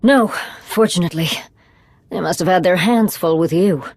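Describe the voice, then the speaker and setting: A woman playing a devoted, steadfast fugitive in a video game, speaking in a measured, earnest way. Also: lowish voice, a little mellow, no echo. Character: steadfast, devoted female fugitive, soothing and resolute.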